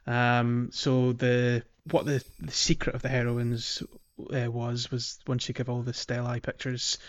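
A man co-hosting a podcast, explaining in an agreeable, casual conversational way. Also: mid-range voice. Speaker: podcast co-host. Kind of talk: casual chat, explaining a game. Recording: dull lo-fi voice track.

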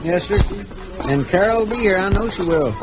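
A man playing an excitable, loyal Old West deputy with a folksy American drawl in a radio Western. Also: high voice, a little fast, music in the background. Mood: eager, respectful. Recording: muffled, noisy vintage radio recording.

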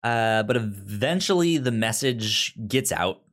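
Slow, halting man on a podcast. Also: on the low side, animated, a bit bright. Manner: halting, repeats words and stammers restarts. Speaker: podcast co-host. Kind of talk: casual TV recap chat.

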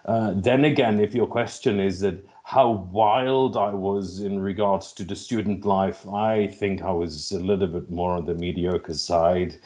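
A man's voice: low-pitched, steady tone, measured pace, hardly any pauses, a little mellow. A middle-aged man with a Finnish accent answering interview questions, hesitating and repeating words as he finds his phrasing. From Finland. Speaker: podcast guest, logistics executive.

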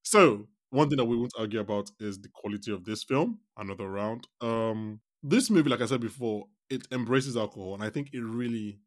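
A man hosting a casual film discussion podcast, rambling and animated, acting out quotes as he talks. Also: medium pitch, fairly quick, hardly any pauses, no echo, clean studio sound. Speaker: podcast host.

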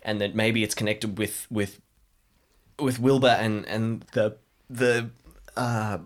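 A man's voice: on the low side, steady tone. A man plays an anxious adventurer in an improvised audio drama. He is rattled and breathless, and his sentences break apart as he gropes for words. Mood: shaken, anxious. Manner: halting, breathless, fragmented speech. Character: shaken, anxious local man in a deep-sea adventure.

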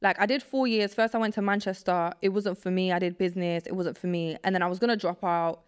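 A woman's voice: on the low side, fast talker, smooth. A young woman in her twenties from Poland, a native Polish speaker, chatting casually as a podcast guest. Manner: relaxed, rambling, heavy use of filler words. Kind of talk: casual chat.

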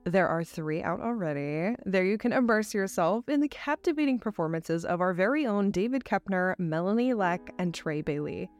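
Polished woman host over soft music. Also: mid-range voice, animated, moderate pace, few pauses, dry recording. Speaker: host and voice actor. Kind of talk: scripted promotional announcement.

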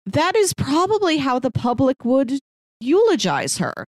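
A woman's voice: high voice, big pitch swings, a little slow, dry recording, clean studio sound. A woman chatting casually about a film as a podcast co-host, often laughing lightly through her words.

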